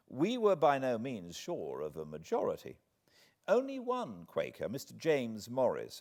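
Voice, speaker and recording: A British man reading a literary audiobook as a narrator, expressive and measured, with deliberate phrasing. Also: low-pitched. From Britain.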